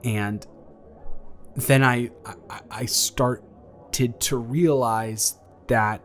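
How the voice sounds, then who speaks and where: A young man playing a nervous, tender ex-boyfriend in an intimate, improvised romantic audio roleplay, speaking earnestly in a halting, rambling way. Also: mid-range voice, fairly bright tone. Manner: halting, rambling, earnest delivery with repeated restarts.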